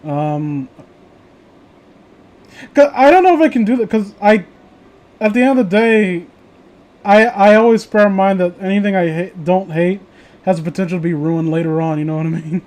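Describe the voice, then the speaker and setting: High voiced guy rambling casually w friends, opinionated, swears a lot. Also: normal speed, pauses often, darkish tone. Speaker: host of a reading show.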